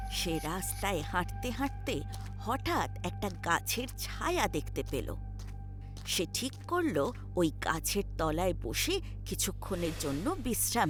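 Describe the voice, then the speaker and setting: Female children's narrator: lowish, very lively intonation, expressive scripted storytelling over loud music.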